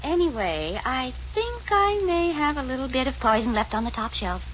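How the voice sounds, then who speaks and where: A woman's voice: high voice, big pitch swings, moderate pace, a bit bright. An actress playing a sweet, dotty old grandmother with a sinister streak in a 1940s radio drama, fussy and sugary. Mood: doting, sweet. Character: sweet, dotty old grandma who poisons apple pies. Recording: muffled, noisy 1940s radio recording.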